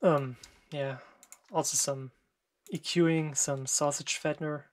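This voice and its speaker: A native German speaker with a German accent walks through a production project at an unhurried pace. The delivery is hesitant and halting, with frequent fillers and short pauses. The voice sits in an ordinary middle pitch, and the tone is relaxed and self-deprecating. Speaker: music producer. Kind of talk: screen-recorded production walkthrough.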